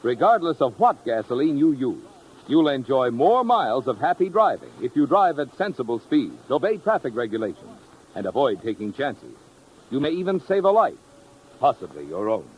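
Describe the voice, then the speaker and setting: A man's voice: mid-range voice, very animated, normal speed, darkish tone, a little hiss or hum, low-quality recording. An American man announcing from a script in a polished, formal 1950s radio style. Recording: old radio broadcast, music behind.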